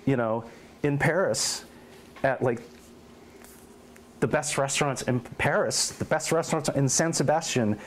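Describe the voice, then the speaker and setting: A middle-aged man in an oral history interview at his home, telling lively stories and acting out quotes. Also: medium pitch, measured pace, pauses often, slight background noise. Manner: animated storyteller, acts out quotes and meows.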